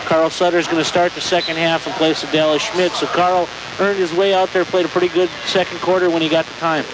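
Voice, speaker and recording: Fast-talking, higher-voiced male color commentator. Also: little pitch movement. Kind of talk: conversational sports analysis. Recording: hissy, noisy amateur tape of radio broadcast.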